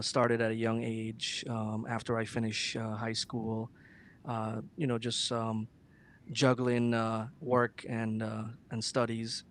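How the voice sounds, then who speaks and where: Slow, halting man from Guyana. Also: medium pitch. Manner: halting, many ums and uhs. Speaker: guest singer. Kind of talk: casual interview.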